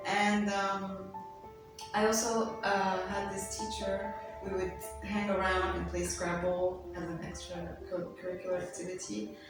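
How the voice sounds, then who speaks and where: A woman's voice: mid-range voice, level tone, unhurried. A young woman whose first language is Arabic talks in a loose, chatty way, leaning on "you know" and "like". She sounds distant from the mic in a very echoey room. Music plays underneath her. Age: young adult. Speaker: interview guest, university student. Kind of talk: casual interview. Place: school set.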